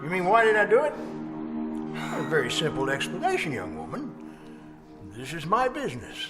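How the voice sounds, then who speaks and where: A gloating old murderer from a radio horror play. His voice is high and swoops widely as he soothes and chuckles with false kindness. Loud music plays behind him. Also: huge pitch swings. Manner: falsely soothing, chuckling, halting and repeating himself. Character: sly, gloating old man, a murderous villain. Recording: live stage performance with loud music.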